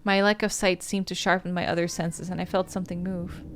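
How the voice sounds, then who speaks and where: A woman's voice: on the low side, little pitch movement, brisk, slight background noise. A woman hosting a game playthrough, reading story narration aloud with casual asides. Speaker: gaming host.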